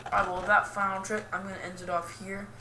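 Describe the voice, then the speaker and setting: A young woman giving casual, rambling game commentary in a self-deprecating, goofy and halting way. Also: medium pitch, little pitch movement, pushed and bright. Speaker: gaming video host. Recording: roomy, distant mic with clear noise.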